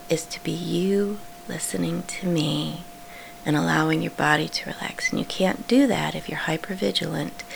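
A woman with a breathy, often whispery voice gently guides a sleep meditation, hushed and unhurried, with reassuring instructions. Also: on the low side, rough recording. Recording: clearly audible background hum.

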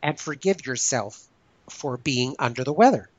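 A man hosting a casual discussion, explaining his ideas in a thoughtful, measured way. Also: medium pitch, animated, no room sound, dull audio. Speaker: podcast host.

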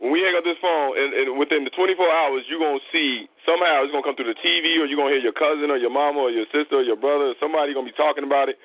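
A man's voice: on the high side, racing, hardly any pauses, no echo. A man hosting a call-in show, talking earnestly and explaining things with many repetitions. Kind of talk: casual spiritual chat. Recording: dry tinny phone line.

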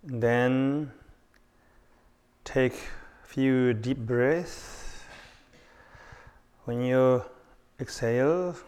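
Very slow, even-voiced male meditation guide. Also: medium pitch, clear, resonant tone. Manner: calm, unhurried, short phrases with long pauses.

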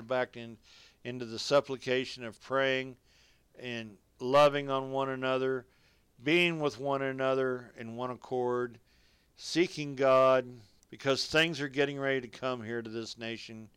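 A man's voice: mid-range voice, fairly bright tone, clear, resonant tone, no room sound. A man preaching a radio sermon, reading scripture and exhorting listeners directly in folksy, colloquial phrasing.

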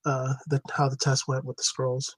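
A man's voice: mid-range voice, monotone, moderate pace, husky, no echo. A man on a podcast panel talking casually with the others. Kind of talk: casual chat.

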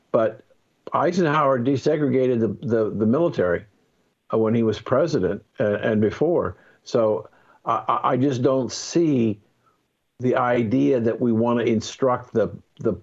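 An American man in his seventies, a veteran on a radio panel, talking in halting, deliberate phrases. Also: medium pitch, darkish tone. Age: 70s. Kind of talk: conversational radio discussion. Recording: dull, lo-fi call-in line.

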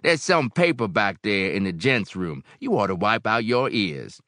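A man voicing a big barkeeper in an audiobook, folksy and unhurried, genial yet gloomy and firm. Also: on the low side, big pitch swings, fairly bright tone, dry recording, clean studio sound, pitched above the performer's own voice. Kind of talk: audiobook character acting.

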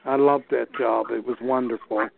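A man's voice: mid-range voice, fairly even intonation, normal speed, gravelly. A man in his sixties, a plainspoken storyteller who repeats himself in casual chat. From Pittsburgh area. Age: 60s. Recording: dry, phone-like lo-fi sound.

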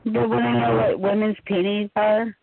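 A woman's voice: medium pitch, flat delivery, normal speed, gravelly, no room sound. A woman on a phone chat line, brash and mocking, swearing freely. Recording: tinny dry phone line.

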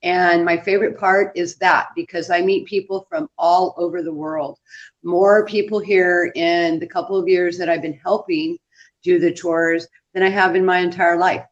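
A woman's voice: on the low side, little pitch movement, normal speed. A woman speaking as a tour guide in a casual interview, conversational and full of small anecdotes. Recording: dull lo-fi audio.

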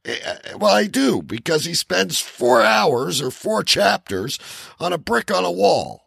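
A man co-hosting a podcast in casual chat, rambling and halting with theatrical emphasis, in a gravelly, husky voice. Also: high voice, very animated, a bit bright, no echo, studio quality. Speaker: podcast co-host.

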